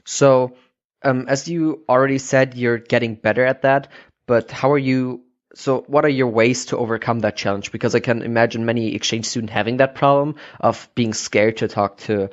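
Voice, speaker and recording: Male podcast host, English, casual and halting, mid-pitched, slightly muffled recording. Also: fairly even intonation. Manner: halting, searching for words. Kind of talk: casual interview.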